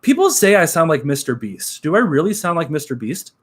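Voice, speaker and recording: A young man with a nasal voice, chatting casually on a live stream, upbeat and relaxed, full of fillers. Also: on the high side, fairly quick, breathy edge. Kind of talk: casual live-stream chat reading comments.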